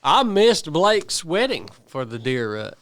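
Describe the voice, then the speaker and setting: A man's voice: on the high side, big pitch swings, slow pace, a bit bright, dry recording. A man in his thirties, a podcast co-host, arguing his point emphatically in casual group chat. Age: 30s. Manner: emphatic, defends his views at length. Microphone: studio podcast mic.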